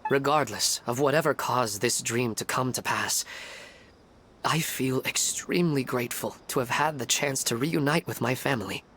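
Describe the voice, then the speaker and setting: A man acts out a kind husband and father for a video game. His voice sits at a middle pitch. He speaks earnestly and gently, reassuring those around him, over faint background noise. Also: normal speed, natural voice. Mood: tender, earnest. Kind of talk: animated video game voice acting.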